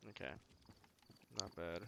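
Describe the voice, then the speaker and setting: A man's voice: slow pace, sharp tone, slight background noise. A man giving casual video game commentary as a streamer, thinking aloud in short, slangy remarks.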